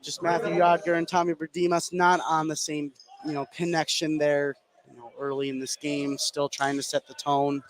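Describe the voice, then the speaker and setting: A man's voice: fairly high pitch, level tone, normal speed, hardly any pauses, slight background noise. A man giving live color commentary and analysis at a basketball game.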